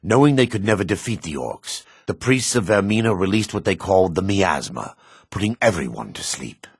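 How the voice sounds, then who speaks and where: Gravelly, low-pitched dark elf priest. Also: male voice, expressive, no echo, studio quality, heavily acted. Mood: grave, ominous. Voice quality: gravelly, husky. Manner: solemn, formal, deliberate priestly phrasing. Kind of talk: video game character acting.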